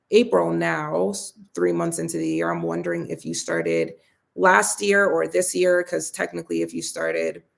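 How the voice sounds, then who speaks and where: A young woman hosting a casual live Q&A, chatting in a friendly way as she reads viewer comments aloud and answers them, her voice getting a bit raspy from long talking. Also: fairly low pitch. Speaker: host answering viewer questions.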